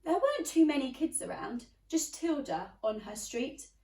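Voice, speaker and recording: A woman's voice: sits high, very animated, moderate pace, dark tone. A woman teacher reading a children's storybook aloud, expressively addressing young listeners. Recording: roomy, slightly distant microphone.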